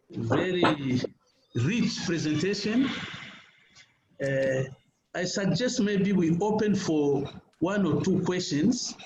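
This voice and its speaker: A man moderating a webinar in a polite, measured way with frequent fillers. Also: a little high. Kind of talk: chairing a webinar. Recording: echoey room with background noise.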